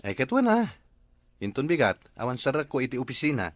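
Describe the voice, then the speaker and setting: A man's voice: mid-range voice, sing-song, fairly quick, dry recording, close to an everyday voice. A man playing a plain-spoken, obliging but scolding handyman husband in an Ilocano radio drama.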